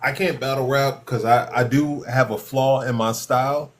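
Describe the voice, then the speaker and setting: A man's voice: mid-range voice, fairly even intonation, moderate pace. A man in his thirties co-hosting a casual podcast chat, talking in a laid-back, self-reflective way. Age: 30s.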